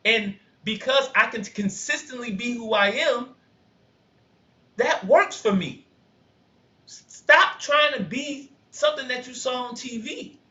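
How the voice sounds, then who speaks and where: A man co-hosting a casual podcast who says he has a high voice and a dumb laugh, talking in an animated way that rises to loud points. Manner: animated, laughs often, gets loud when making a point. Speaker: podcast co-host. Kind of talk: casual reaction podcast chat. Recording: roomy, dull lo-fi sound.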